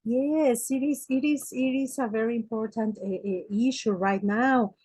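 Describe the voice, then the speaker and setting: A woman in her forties from Mexico City with a Mexican Spanish accent, a native Spanish speaker answering interview questions as a psychotherapist, pausing often on "eh" and restarting phrases. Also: fairly high pitch, a little mellow, husky. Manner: hesitant, many "eh" fillers and restarts. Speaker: psychotherapist guest.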